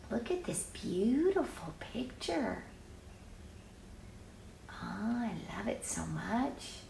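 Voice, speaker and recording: Expressive older woman reading in an echoey room. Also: medium pitch, gravelly, a little hiss or hum. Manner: expressive, exclaiming, drawn-out emphasis. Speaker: storyteller reading a picture book aloud to children. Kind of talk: informal read-aloud with chatty asides.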